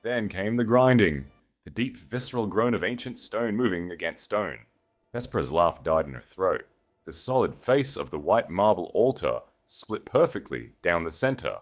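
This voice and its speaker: A man reading a dramatic story as an audiobook narrator, solemn and with deliberate emphasis. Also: low-pitched, sing-song, soft background music.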